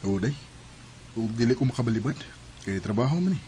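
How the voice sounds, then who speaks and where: Actor as earnest Cebuano suitor, noisy track. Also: male voice, mid-range voice, lively intonation, brisk, warm tone, natural voice. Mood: resigned. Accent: Cebuano Filipino. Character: earnest male suitor, older than his sweetheart. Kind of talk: radio drama acting.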